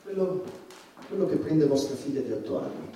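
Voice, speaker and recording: An actor playing a provocative, agitated stand-up satirist on trial, delivering an emphatic theatrical monologue that swings between muttering and shouting. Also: male voice, medium pitch, animated, brisk, slight background noise, lightly acted. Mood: bitter, reflective. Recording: echoey, distant stage recording with music.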